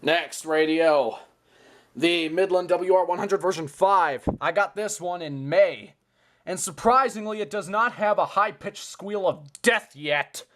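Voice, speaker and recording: A man giving an informal, excitable show-and-tell commentary, often interjecting as he goes. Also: high-pitched, a little slow. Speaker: video host. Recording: clearly noisy home recording.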